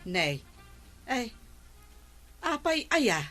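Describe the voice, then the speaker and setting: A woman's voice: on the high side, sing-song, drawn-out words, a bit bright, faint music behind, heavily acted. A woman with an Ilocano accent playing a sympathetic, gossipy village friend in a radio comedy drama, commiserating playfully.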